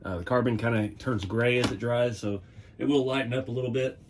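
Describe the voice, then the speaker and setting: A hoarse man at a middle pitch talks through his hobby work in a relaxed, rambling way, chuckling now and then. He is in his home workshop, with a faint hiss of ambient noise behind him. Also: brisk. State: losing his voice. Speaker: hobby channel host. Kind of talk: casual workshop vlog.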